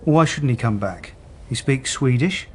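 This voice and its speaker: An actor playing a hopeful, reasoning police detective, husky and persuasive, in a radio drama. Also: male voice, medium pitch, expressive, measured pace, a little mellow. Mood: hopeful, insistent.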